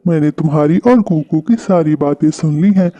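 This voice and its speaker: A man's voice: on the high side, moderate pace, dark tone, natural voice. A man voicing a big male kite, a predatory bird in a children's cartoon. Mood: remorseful, gentle. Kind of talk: Hindi children's cartoon voice acting.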